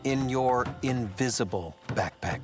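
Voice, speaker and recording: A man voicing a learned, deadpan mentor who makes grave, precise pronouncements in an animated game. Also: medium pitch, measured pace, music in the background, close to an everyday voice. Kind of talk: animated game cutscene acting.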